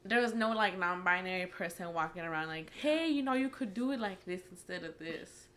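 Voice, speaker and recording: A young American woman chats candidly in a slightly low voice. She is informal and blunt, with casual everyday phrasing. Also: animated, brisk, fairly bright tone. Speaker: anonymous testimony participant.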